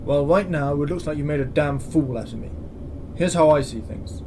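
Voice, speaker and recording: A man's voice: a little high, normal speed, natural voice. A man playing a stern, exasperated military commandant in a science-fiction audio drama, speaking with curt authority. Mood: exasperated, accusing. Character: stern, exasperated male space station commandant. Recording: clear background ambience noise.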